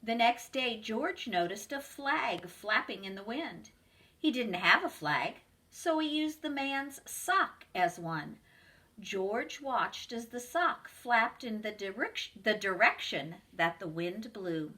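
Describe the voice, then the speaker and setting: Preschool teacher, woman, reads a picture book aloud to kids, high and animated. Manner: slow, expressive storybook reading.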